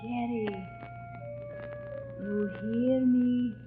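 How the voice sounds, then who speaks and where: An actress playing a commanding sorceress villainess with an entrancing manner in an old-time radio drama. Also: female voice, a little high, steady tone, very slow. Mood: hypnotic, commanding. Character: hypnotic, commanding villainess, temple dancer sorceress. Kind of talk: 1930s radio acting. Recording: phone-like old radio, loud music behind. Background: loud music.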